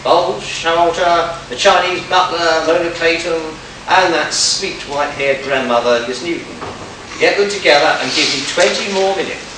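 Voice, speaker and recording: A man playing a stern, shrewd detective with deliberate, declamatory delivery in an amateur radio play, recorded from a distance in an echoey, noisy space. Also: fairly high pitch, natural voice. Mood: grave, deliberate. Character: stern, shrewd male detective accusing murder suspects.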